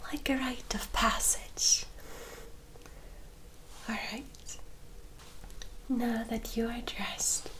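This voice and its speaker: A woman playing a gentle, courteous female vampire in a whispered ASMR roleplay, soft, formal and reassuring. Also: medium pitch, natural voice, low-quality recording.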